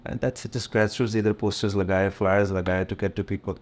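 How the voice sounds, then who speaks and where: Middle-aged US promoter, Gujarati native, low voice, easygoing bilingual interview talk. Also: male voice, fast talker. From USA, born in India. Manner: relaxed, mixes English with Hindi, frequent fillers and light laughs. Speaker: event promoter, interview guest. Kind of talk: casual interview conversation.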